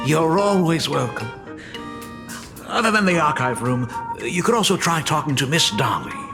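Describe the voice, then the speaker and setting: A man's voice: a little high, exaggerated voice. A middle-aged actor playing a polite, hesitant male school dean and father in a video game. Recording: reverberant game audio with music.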